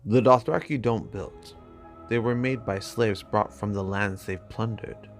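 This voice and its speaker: A man voicing a seasoned exiled knight and loyal advisor, speaking gravely and with measure in a dramatic fantasy reading. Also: fairly low pitch, a little slow, faint music behind. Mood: sober explaining.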